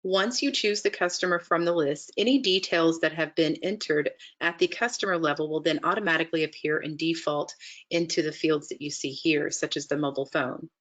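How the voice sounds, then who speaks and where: A woman narrating an instructional software demonstration in a methodical, explanatory way, step by step. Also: sits low. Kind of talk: instructional screen-share walkthrough narration.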